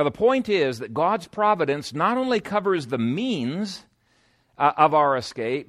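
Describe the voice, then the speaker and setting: Animated, higher-voiced male preacher. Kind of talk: expository sermon.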